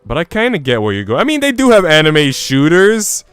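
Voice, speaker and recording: A man's voice: on the high side, huge pitch swings, fairly quick, fairly bright tone, clean tone, dry recording. A man chatting casually on a game livestream in an informal, slangy, rambling way. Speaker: game streamer. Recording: music playing behind the voice. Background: music.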